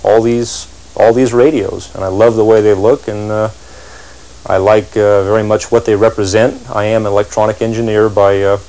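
Mellow, low-voiced man, halting and reflective. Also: little pitch movement, rough recording. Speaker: interviewed radio collector and electronic engineer. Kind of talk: reflective interview talk. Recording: dated 1980s TV soundtrack.

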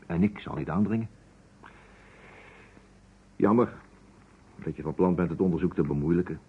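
Husky probing investigator. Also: male voice, low voice. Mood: insistent, controlled. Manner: deliberate, pointed questioning. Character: probing male investigator, calm, insistent, shrewd. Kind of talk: old Dutch radio drama acting. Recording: dull vintage radio recording.

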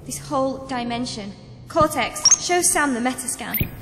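Woman acting young psychic space hunter girl, earnest, high voice, reverby with music. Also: expressive, unhurried. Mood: grave. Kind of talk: sci-fi audio drama acting.

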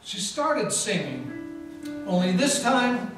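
A man playing a deadpan, wisecracking private eye who narrates his own case in an old-time radio play. His voice comes from far off in a very echoey hall, with music behind. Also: high-pitched, slow. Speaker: narrator and lead actor. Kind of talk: hardboiled 1940s radio detective narration.

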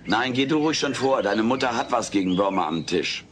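A man's voice: mid-range voice, animated, brisk, fairly bright tone, close to an everyday voice. A man voicing a cheeky, sarcastic, furry alien houseguest in an audio drama. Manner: sarcastic, brash. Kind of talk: dubbed sitcom audio drama. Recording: noisy old cassette audio drama.

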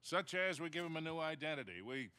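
An actor playing a blunt, wry, pragmatic older American fortune hunter in a cinematic video game. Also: male voice, a little high, lively intonation, quick pace, pushed and bright, smooth, no echo. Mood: urgent, pragmatic. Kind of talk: naturalistic cinematic game dialogue. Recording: music under the dialogue.

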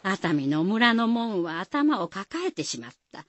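Lively folksy dialect storyteller. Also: female voice, medium pitch, measured pace, dry recording. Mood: storytelling. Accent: rural Shizuoka dialect. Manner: homely, conversational storytelling. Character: folksy storyteller of village tales. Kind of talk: dialect folktale narration in a radio drama.

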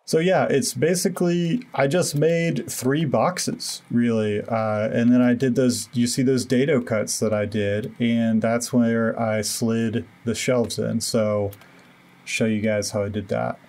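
A man's voice: mid-range voice, takes it slowly. Casual man narrating his DIY build. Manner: relaxed, self-deprecating, many fillers. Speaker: DIY renovation vlogger. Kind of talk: casual voice-over walkthrough.

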